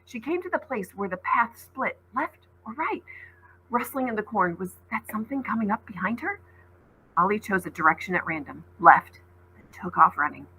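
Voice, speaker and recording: A woman teacher reading a novel aloud to her class, in an expressive storytelling manner. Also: normal speed, slight background noise, rough recording. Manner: expressive read-aloud, voices rising high on called names.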